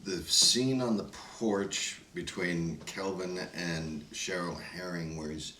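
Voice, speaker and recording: Slow, very deep male voice. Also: steady tone, pushed and bright. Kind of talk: casual roundtable chat. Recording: echoey room, distant mic, faint noise.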